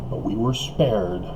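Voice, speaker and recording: A man's voice: lowish voice, background noise. A man talking in a rambling solo monologue, chuckling and hesitating often while his voice wears out and he coughs. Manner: chuckles often between remarks; hesitant, many fillers and restarts. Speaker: online video commentator.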